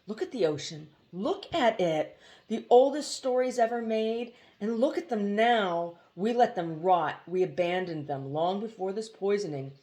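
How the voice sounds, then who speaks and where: A woman's voice: medium pitch, animated, a little slow, dark tone, pitched above the performer's own voice, close to an everyday voice. Woman acting a mournful, weeping water genie. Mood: weeping grief. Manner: mournful, plaintive. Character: disconsolate weeping male water genie, guilt-ridden guardian.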